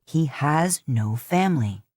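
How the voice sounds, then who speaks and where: A woman voicing a weary, gruff older man who leads his tribe. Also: low voice, lively intonation, slow pace, darkish tone, dry recording, clean studio sound, exaggerated voice. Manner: gruff, grudging.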